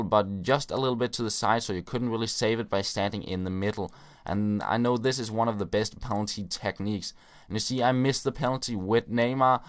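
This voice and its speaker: A man's voice: lowish voice, smooth. A man hosting a gaming video, giving casual play-by-play commentary on his own matches with frequent filler words.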